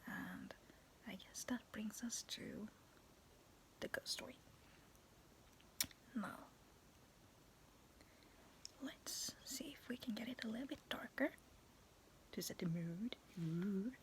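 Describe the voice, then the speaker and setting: A young woman vlogging in a soft, raspy whisper, talking to her viewers to camera and telling a spooky story, giggling now and then. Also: a little high, lively intonation, slow pace, pauses often. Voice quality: raspy, cracking voice. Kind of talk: whispered casual vlog with a ghost story. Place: dim bedroom with fairy lights. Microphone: phone or webcam at arm's length.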